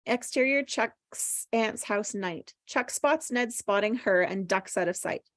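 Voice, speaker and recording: A woman's voice: mid-range voice, a little slow, fairly bright tone, no echo. A woman reading stage directions aloud from a script at a table read, as scripted narration.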